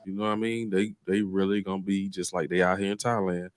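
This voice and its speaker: A man's voice: deep voice, level tone, quick pace, a little hiss or hum. A man giving casual commentary in a slangy, assured manner.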